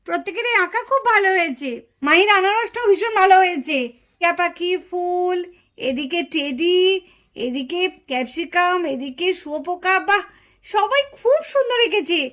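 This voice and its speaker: Kindly cartoon auntie, voiced by a woman, high and bright, encouraging to children. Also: expressive, normal speed. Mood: praising. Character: kindly auntie judging a children's drawing contest. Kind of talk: Bengali puppet-show cartoon acting.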